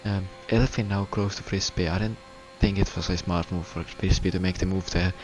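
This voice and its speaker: A Finnish man commentating a sim race in English, a second language for him, with a halting, stuttering delivery. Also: sits low, steady tone, soft background music, rough recording. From Finland. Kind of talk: live sim racing commentary.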